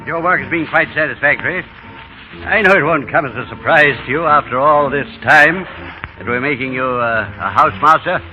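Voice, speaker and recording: An actor playing a formal, measured older headmaster in a 1930s radio drama. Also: male voice, a little high, faint music behind, lightly acted. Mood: formal, approving. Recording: muffled 1930s radio recording.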